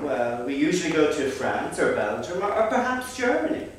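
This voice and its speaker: An actor playing a reserved Irish gentleman who answers hesitantly and defensively, with an Irish accent, in a stage play. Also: on the high side, sing-song, normal speed. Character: reserved middle-class Irish man, defensive. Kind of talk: stage acting. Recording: echoey hall, mic far off.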